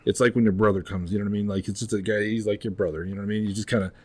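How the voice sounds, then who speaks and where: Brief: husky low-voiced man, rapid rambling podcast host. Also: fairly even intonation. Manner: rapid, rambling, fills with "you know what I mean". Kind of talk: casual podcast chat.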